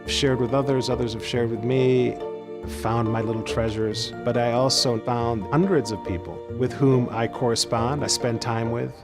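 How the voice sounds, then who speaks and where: A man from Chile speaking personally in a documentary interview, in a mid-pitched voice. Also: steady tone, moderate pace, music in the background. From Chile, moved to the United States as a child. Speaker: stamp collector interviewee.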